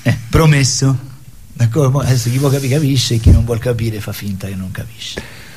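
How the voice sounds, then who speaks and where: A man's voice: medium pitch, steady tone, brisk, darkish tone. Man chatting over background noise. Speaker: theatre performer and guest. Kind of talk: casual interview talk.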